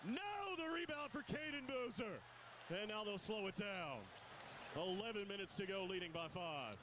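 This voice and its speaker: A man doing radio play-by-play of a basketball game, rapid and rising sharply on big plays. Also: high-pitched, animated, noisy background. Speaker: play-by-play announcer.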